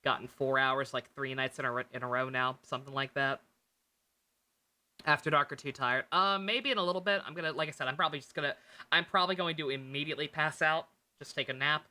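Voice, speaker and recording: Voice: medium pitch, steady tone, fast, bright, forceful tone. A tired livestreamer chatting casually to viewers, rambling and thinking aloud with frequent swearing. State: tired, short on sleep. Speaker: game livestreamer.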